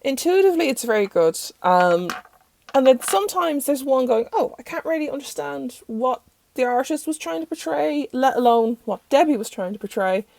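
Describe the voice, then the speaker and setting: A young Irish woman with a croaky voice from recent illness, chatting rambly through a casual review in her bedroom. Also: high-pitched, expressive, moderate pace, darkish tone. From Ireland. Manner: chatty and rambling, self-interrupting. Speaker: tarot channel host. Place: bedroom at home.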